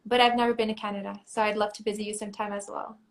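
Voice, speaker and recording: A woman's voice: mid-range voice, flat delivery, racing. A young woman from Mexico, a Spanish speaker, hosting a live video chat in English, friendly and enthusiastic. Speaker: pageant titleholder, live stream host.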